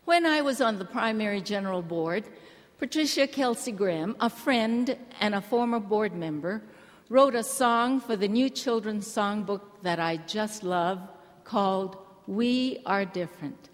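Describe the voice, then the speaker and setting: A woman from Hawaii giving an earnest, gentle church address to a women's gathering. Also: mid-range voice, measured pace. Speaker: church speaker. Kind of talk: measured address to a women's conference. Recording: large hall reverb.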